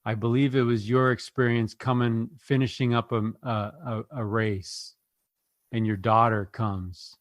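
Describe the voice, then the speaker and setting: A man hosting a casual group conversation, speaking earnestly and thoughtfully with halting phrasing. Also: mid-range voice, flat delivery, no echo, studio quality. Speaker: podcast host.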